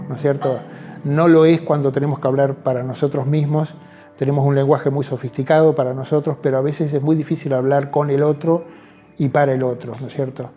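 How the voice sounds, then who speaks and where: A man with an Argentine accent and a very dark, soft-edged voice talks reflectively in an interview. He often checks in with short tag questions. Music plays behind him. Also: mid-range voice.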